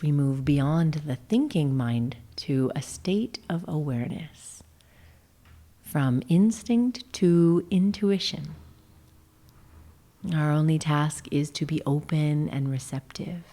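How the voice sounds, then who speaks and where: A woman guiding a meditation session in a gentle, soothing, measured manner, her phrases often trailing off into breathy whispers. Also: deep voice. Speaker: yoga nidra guide, session host. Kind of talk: guided meditation narration.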